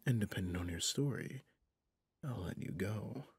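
A man's voice: fairly low pitch, lively intonation, exaggerated voice. A man playing a stern, menacing, centuries-old vampire hunter in an ASMR roleplay, commanding and often dropping to a whisper. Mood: wary, restrained. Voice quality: husky, breathy, gravelly, often dropping to a whisper. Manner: slow, commanding, interrogating.

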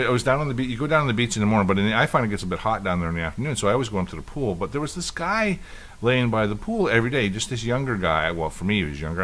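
A man's voice: lowish voice, a bit bright. A man in his fifties co-hosting a casual podcast chat, telling long rambling stories with many restarts. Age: 50s. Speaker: podcast co-host.

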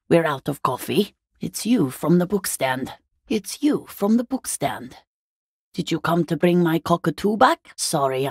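A tired, worried middle-aged woman, a working-class mother in a dramatic video game. Her voice is low and gravelly, and she speaks slowly and plainly, with halting, subdued delivery. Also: a little mellow, no room sound, clean studio sound, heavily acted. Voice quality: husky, gravelly.

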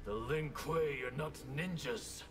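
An actor playing a proud, cold masked ninja warrior in a video game, stern and disdainful. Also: male voice, a little high, slow, crowd noise. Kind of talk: video game fight intro banter. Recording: game audio with very loud music.

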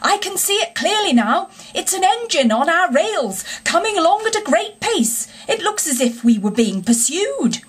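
A woman's voice: fairly high pitch, moderate pace, close to an everyday voice. A woman voicing a stern but kind-hearted male engine driver in a storybook, earnest and plain-spoken. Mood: urgent alarm.

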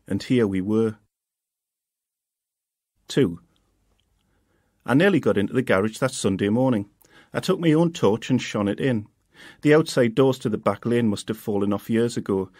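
A man, the author reading his own novel in a quiet, steady first-person voice. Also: medium pitch, no room sound, studio quality. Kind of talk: audiobook reading.

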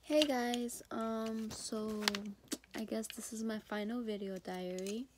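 A teenage girl records a school video diary in her bedroom, holding the phone at arm's length. Her voice is slightly high but hoarse from being sick, and she talks softly and hesitantly, full of ums and pauses. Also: fairly even intonation, background noise. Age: teens. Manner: hesitant, many ums, soft and low-key. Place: bedroom indoors. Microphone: phone or laptop camera at arm's length.

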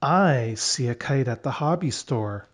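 A man reads a children's storybook as its narrator. His pace is slow and deliberate, and his mid-pitched voice moves expressively up and down. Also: animated. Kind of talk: children's read-aloud narration.

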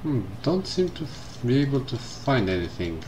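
A man commenting casually on a game as he plays, reading the game text aloud and thinking out loud. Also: mid-range voice, big pitch swings, moderate pace, slight background noise. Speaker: gameplay streamer. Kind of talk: casual let's-play commentary. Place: indoors at a computer. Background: game music.

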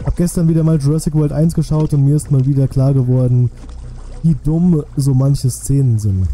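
A man's voice: mid-range voice, moderate pace, mellow. A man casually commentating a game live for his viewers, thinking aloud in chatty asides. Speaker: streamer. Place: indoor room, streaming setup. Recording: music playing faintly behind. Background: game music.

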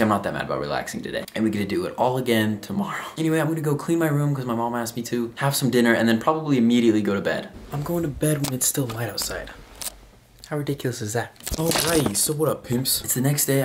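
A young adult man chatting casually to his vlog audience in an informal, slangy way. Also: medium pitch. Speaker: vlogger. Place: rooms at home, car, outdoors.

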